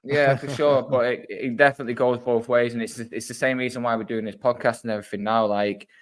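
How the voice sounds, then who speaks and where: A man's voice: mid-range voice, little pitch movement, fast talker. A young man with a Mancunian accent from near Wigan, chatting casually as a podcast host, swearing and laughing a lot. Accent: Mancunian, Wigan-tinged. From Manchester area, England. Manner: sweary, laughs often, lots of fillers. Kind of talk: casual chat.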